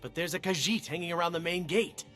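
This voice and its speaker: A man's voice: on the high side, quick pace, bright, forceful tone, soft background music. An actor playing a nervous, paranoid townsman in a fantasy game, anxious and suspicious. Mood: fearful, suspicious.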